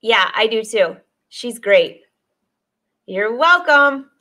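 A woman in her forties hosts a casual live stream from her sewing studio, talking to viewers through a desk webcam. Her voice sits fairly high, and she chats along easily, greeting commenters by name and laughing often. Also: expressive, slow, sharp tone. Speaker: sewing teacher and host. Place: sewing studio with dress forms, indoors.

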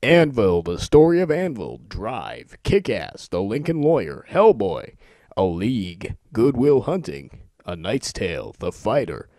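An amateur male voice talent with a mellow tone and animated pitch. He sounds informal and amused with himself, as if fooling around on a homemade demo. The recording is close and dry. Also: measured pace, no room sound. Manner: joking, self-amused, informal. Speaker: amateur making a voice-over demo. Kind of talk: casual chat and rapid-fire list reading.

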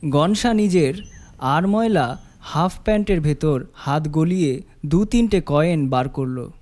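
A man's voice: on the high side, lively intonation, unhurried, a little mellow. A man reading a scripted story as its narrator. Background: music.

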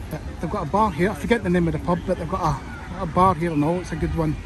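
Scottish male vlogger, highish voice, sore throat, rambling street commentary over crowd and traffic. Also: a little fast. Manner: chatty, rambling asides to viewers. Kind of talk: casual walking commentary. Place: busy city street outdoors. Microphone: handheld phone camera.

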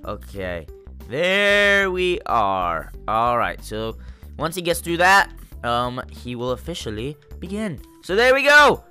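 A young man with a congested, stuffy voice giving excitable, jokey gameplay commentary to his viewers. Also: medium pitch, slow, faint music behind. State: congested from a cold. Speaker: gaming YouTuber.

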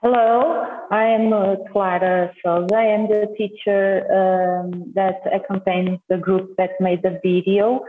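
A woman's voice: mid-range voice, flat delivery. Portuguese-accented female teacher, hesitant English, thin phone-like audio in a roomy space. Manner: careful, hesitant English with pauses. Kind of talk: video call introduction. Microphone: video call. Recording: tinny call audio in echoey room.

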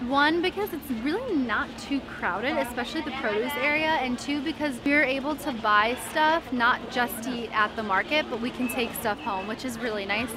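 A young woman vlogging at an outdoor night market, cheerful and relaxed. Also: sits high, normal speed, hardly any pauses. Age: young adult. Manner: cheerful, relaxed commentary to camera. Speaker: travel vlogger. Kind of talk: casual travel vlog. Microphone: camera held at arm's length. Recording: loud crowd behind.

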